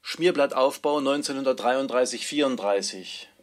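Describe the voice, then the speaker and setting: A man's voice: medium pitch, normal speed. A man announcing manuscript titles and dates in a plain, matter-of-fact way.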